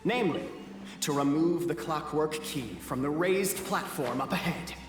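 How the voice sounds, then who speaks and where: An actor playing a pompous, touchy clan storyteller in a fantasy game, with flowery delivery and hall reverb. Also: male voice, fairly high pitch, moderate pace, over music, natural voice. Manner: flowery, narrating.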